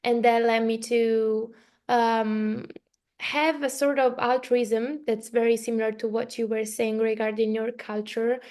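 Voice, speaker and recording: A woman's voice: fairly high pitch, little pitch movement, a little slow, a little mellow, clear, resonant tone. A woman from Italy, a native Italian speaker with an Italian accent, hosting a casual, reflective podcast conversation. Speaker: podcast host.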